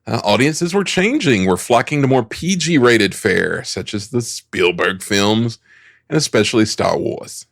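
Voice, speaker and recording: A man's voice: mid-range voice, lively intonation, unhurried, vocal fry, fairly bright tone, no echo. A man hosting a podcast, narrating film history from notes in a conversational way with joking asides. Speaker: podcast host.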